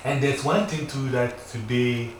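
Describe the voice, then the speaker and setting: A man's voice: mid-range voice, steady tone, unhurried. A middle-aged man with a Ghanaian accent, a teacher chatting on a talk show and telling emphatic stories. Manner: storytelling, emphatic, acts out quoted exchanges. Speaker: teacher, radio guest. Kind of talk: casual radio chat. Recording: echoey, distant mic, noisy background.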